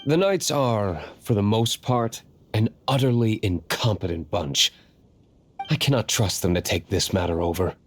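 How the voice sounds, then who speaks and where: A man's voice: lowish voice, unhurried. An actor playing a brooding, aloof young nobleman and secret vigilante in a video game, formal and curt. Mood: cool, disdainful.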